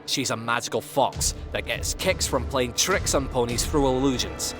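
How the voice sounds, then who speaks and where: A man's voice: mid-range voice, normal speed, music in the background, natural voice. A man voicing a bold, protective young male pony in an audio drama, firm and defiant. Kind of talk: fan audio drama acting.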